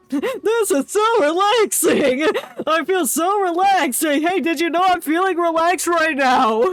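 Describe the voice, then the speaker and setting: Female game streamer: high pitch, casual commentary, mock-dramatic, playful, faint game music underneath. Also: no room sound. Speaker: livestreamer.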